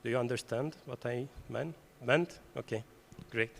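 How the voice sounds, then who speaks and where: A man's voice: mid-range voice, moderate pace, vocal fry. A man from Romania in the audience asks a panel a question politely and hesitantly, searching for his words. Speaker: audience member asking a question. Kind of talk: conference Q&A question. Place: conference hall. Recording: reverberant conference hall.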